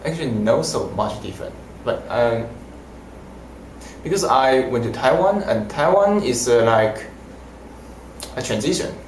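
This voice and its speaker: A young man whose first language is Mandarin, answering interview questions in halting, simple phrasing. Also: medium pitch, expressive, a little hiss or hum. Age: young adult. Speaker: language student. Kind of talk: casual interview. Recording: roomy echo.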